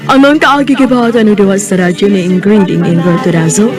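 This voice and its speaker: A woman's voice: medium pitch, brisk, over music. A woman with a Cebuano accent reading scripted narration as the narrator of a radio drama. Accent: Cebuano Filipino.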